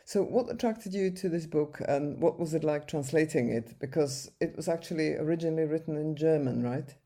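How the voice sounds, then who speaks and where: A woman's voice: low voice, fairly quick, warm tone. A woman interviewer asking questions in a friendly, casual way, with light laughter between them.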